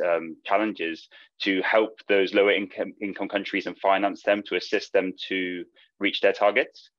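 A man's voice: low voice, a bit bright, dry recording. A young man putting a semi-formal question as a seminar organizer over a video call from home. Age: young adult. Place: indoors in a home with bookshelves. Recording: muffled, dry video call.

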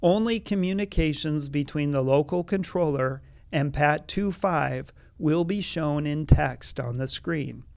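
A man reading formal scripted narration in a measured, neutral way. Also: on the high side, gravelly. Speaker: narrator of an official accident animation.

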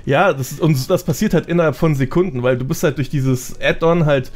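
Higher-pitched man chatting casually. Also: fairly quick, a little mellow. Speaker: podcast guest, gamer. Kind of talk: casual podcast chat.

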